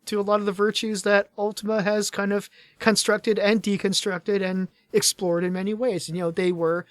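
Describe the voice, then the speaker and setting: A man's voice: high voice, flat delivery, moderate pace, no echo. Tired-voiced male podcast co-host, fairly dry sound. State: tired voice starting to fade after hours of talking. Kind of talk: casual gaming podcast chat.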